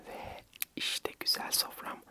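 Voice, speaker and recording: A young man whispering gently and soothingly in a breathy voice, narrating an ASMR vlog close to the mic. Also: takes it slowly. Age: young adult. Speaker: ASMR vlogger.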